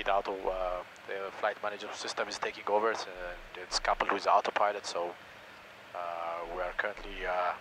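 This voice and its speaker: A man working as an airline first officer, explaining the cockpit instruments in a hesitant way with many fillers, recorded in the cockpit in flight. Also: on the low side. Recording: steady engine noise behind.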